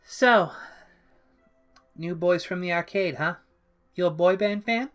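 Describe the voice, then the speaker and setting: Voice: medium pitch, slow, fairly bright tone, soft background music, pitched above the performer's own voice. A narrator voicing a bubbly, mischievous, childlike young villainess who exclaims, teases and pouts playfully in an audiobook-style story. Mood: teasing. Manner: exclaiming, teasing, playfully pouty.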